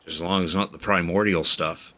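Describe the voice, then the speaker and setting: Very deep-voiced male game streamer. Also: fairly even intonation, normal speed, sharp tone. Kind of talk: casual live stream commentary and reading game dialogue. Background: faint game music.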